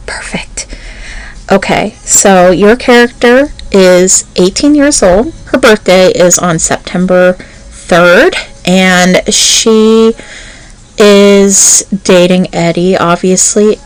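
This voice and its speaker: A woman's voice: medium pitch, rough recording. A woman voice actor and channel host chatting casually and nervously, rambling with many fillers before her audio roleplay.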